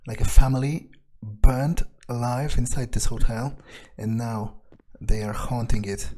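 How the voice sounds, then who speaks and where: A man's voice: medium pitch, steady tone, measured pace, warm tone. A man doing casual, foul-mouthed in-character game commentary, muttering his thoughts aloud as he plays. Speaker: gaming streamer, let's player. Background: video game music.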